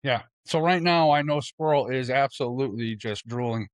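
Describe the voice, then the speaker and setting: A man chatting casually as a host, excitable and slangy, with a bantering way of talking. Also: medium pitch, moderate pace, no echo, studio quality. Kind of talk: casual chat.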